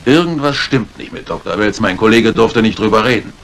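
A man acting a brisk, matter-of-fact police inspector in a 1950s radio mystery, speaking in a businesslike, clipped way. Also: fairly low pitch, expressive, fairly bright tone, a little hiss or hum. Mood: puzzled, official.

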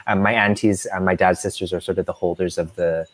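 A young man on a video call telling a relaxed, rambling story. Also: low-pitched, fairly quick. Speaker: artist, collective member. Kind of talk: casual panel chat on video call.

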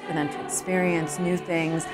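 Woman, low register, university staffer in a promo interview, music underneath. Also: level tone, normal speed. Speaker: university admissions staff member. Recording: music behind the voice.

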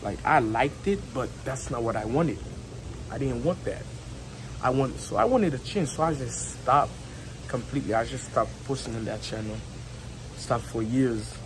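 A young man with a heavy Haitian accent talks loosely and repeats himself, leaning on filler words. His mid-range voice comes through a phone mic held at arm's length outdoors, with steady background noise. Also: medium pitch, normal speed. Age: young adult. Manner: rambling, repetitive, filler-heavy talk with laughs. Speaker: YouTuber. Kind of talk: casual vlog chat. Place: outdoors on a patio by a house wall.

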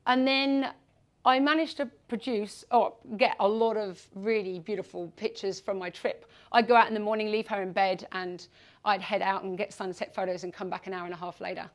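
A woman's voice: medium pitch, fairly even intonation, moderate pace. A woman in her forties from England with a West Country accent, giving a self-deprecating, jokey presentation to an audience in a meeting room. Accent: West Country English. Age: 40s. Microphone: far camera.

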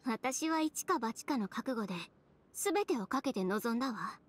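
A woman playing a proud, composed young fashion designer in an anime game, speaking in a cool, measured, matter-of-fact way. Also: fairly high pitch, animated, a little hiss or hum. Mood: reflective.

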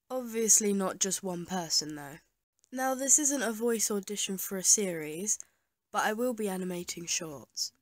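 A woman who sounds a little unwell speaks in a casual announcing style to her viewers. Her pitch sits in the middle, and the recording is dry, with hardly any room sound. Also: a little mellow, no room sound. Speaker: online animator and host.

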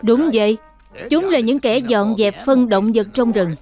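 Man voicing a gentle big bear teacher. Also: extremely high pitch, measured pace. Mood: patient, caring. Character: big adult male bear teacher, patient and caring. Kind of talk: children's cartoon dub. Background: background music.

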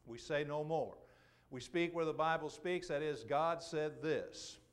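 A middle-aged man preaching an expository sermon in a church, slowing down to stress key phrases. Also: on the high side. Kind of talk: sermon, expository Bible teaching. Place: church auditorium, speaking from the pulpit to a congregation.

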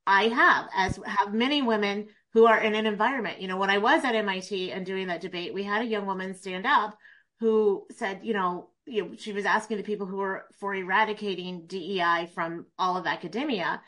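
A woman's voice: mid-range voice, fairly even intonation, brisk, fairly bright tone. A woman in her forties joining a panel discussion by video call, speaking emphatically and persuasively.

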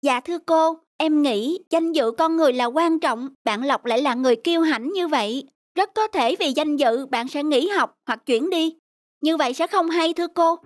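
A woman's voice: high-pitched, normal speed, close to an everyday voice. A woman voicing a poor, humble schoolboy in a Vietnamese cartoon, speaking earnestly and hesitantly.

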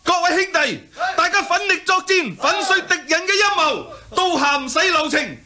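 An actor in a radio drama playing an earnest young Greek hero who leads an expedition, speaking in a declamatory way. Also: male voice, very high-pitched, animated, moderate pace, bright, forceful tone, heavily acted. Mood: urgent, commanding. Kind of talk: Cantonese radio drama acting.

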